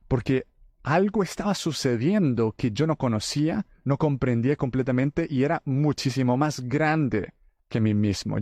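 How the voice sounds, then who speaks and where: Husky, warm podcast host. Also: male voice, mid-range voice, dry recording, clean studio sound. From El Salvador. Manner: earnest, addresses listeners as friends. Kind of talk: faith podcast monologue.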